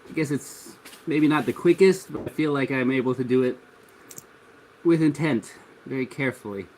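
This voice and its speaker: A congested man hosting a livestream, chatting in a relaxed, rambling way while he works, chuckling often. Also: medium pitch, moderate pace, slight background noise, poor audio. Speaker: 3D printing livestream host. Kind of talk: casual livestream talk while working.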